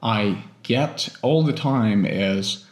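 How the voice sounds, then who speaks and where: Young male teacher, Russian native with American accent, unhurried reflective delivery, roomy sound. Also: on the low side. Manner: calm, reflective, addresses viewers as guys. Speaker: English teacher and channel host. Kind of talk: personal storytelling vlog.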